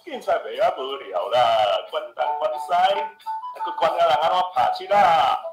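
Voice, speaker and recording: A brash young suitor in a Taiwanese radio play, boastful and stubbornly wheedling. His Taiwanese-accented delivery swings widely in pitch and stretches into chanting. Music plays behind the roomy recording. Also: sing-song, normal speed. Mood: nagging chant. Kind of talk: Taiwanese radio drama acting.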